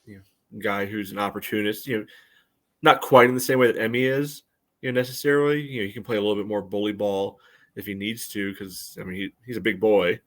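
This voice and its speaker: A man with a fairly low voice talks as a sports podcast co-host. His analysis is casual and conversational, and he qualifies his points as he goes. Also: fairly even intonation, brisk, fairly bright tone.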